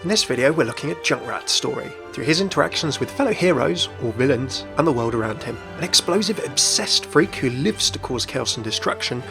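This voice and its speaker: A man's voice: medium pitch, expressive, brisk, vocal fry, hardly any pauses, music in the background. A man presenting a gaming video in scripted explainer narration.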